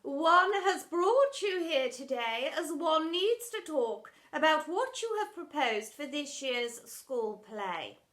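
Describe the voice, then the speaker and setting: A woman's voice: high voice, a bit bright, voice pushed up, full character voice. A woman voicing an imperious, posh headmistress in a children's storybook, pompous, commanding and exasperated. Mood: weary, condescending. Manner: pompous, commanding, refers to herself as "one".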